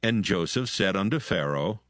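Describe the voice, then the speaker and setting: A man's voice: sits low, lively intonation, moderate pace, a bit bright, dry recording, studio quality. A man narrating scripture as a dramatized reading, in a solemn and formal manner. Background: soothing background music.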